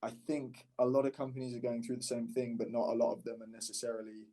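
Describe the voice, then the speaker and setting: A man's voice: mid-range voice, completely flat delivery, fast, mellow. A man speaking casually as a podcast guest and startup founder, candid and often rephrasing mid-sentence. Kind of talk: casual conversational podcast interview.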